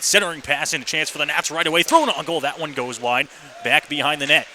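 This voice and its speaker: A man's voice: mid-range voice, bright, forceful tone. A man calling a live hockey game on the radio as the play-by-play announcer. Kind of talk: live radio sports commentary. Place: ice hockey arena. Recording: live rink broadcast with faint noise.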